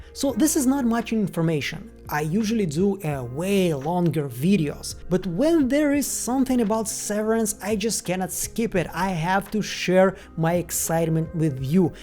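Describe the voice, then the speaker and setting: Woman, Ukrainian accent, video host, casual filler-heavy chat, lowish voice, soft music behind. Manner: chatty, full of "like" and "once again" fillers. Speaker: YouTube host.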